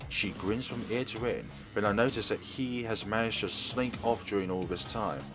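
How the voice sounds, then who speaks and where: Male let's player, lower register, expressive story narration over prominent game music. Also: normal speed. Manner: expressive reading, acts out dialogue. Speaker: let's player reading a visual novel aloud. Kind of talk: narration read from on-screen text.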